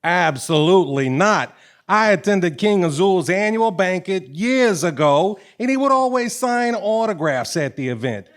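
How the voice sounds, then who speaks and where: An actor playing a proud, emphatic dealer in a live stage comedy recording. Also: male voice, sits high, lively intonation, measured pace. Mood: indignant pride. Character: proud, indignant seller of autographed certificate.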